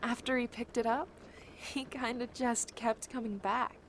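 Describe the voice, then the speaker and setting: A woman's voice: a little high, fairly even intonation, a little slow, slight background noise, close to an everyday voice, poor audio. A woman playing a weary, touchy young survivor in a zombie audio drama. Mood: softening, reminiscent.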